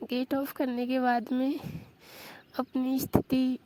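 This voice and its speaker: High-pitched woman, slow romantic confessional storytelling. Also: little pitch movement, dark tone, rough recording. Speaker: storyteller in a staged intimate phone conversation.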